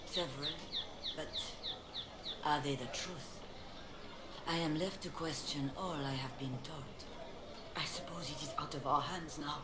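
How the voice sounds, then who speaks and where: Low-voiced stern cleric. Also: female voice, steady tone, a crowd in the background. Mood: resigned, doubting. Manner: formal, measured, reproachful. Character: stern, weary high-ranking cleric woman, proud and doubting. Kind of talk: fantasy video game dialogue. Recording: echoey, noisy outdoor ambience.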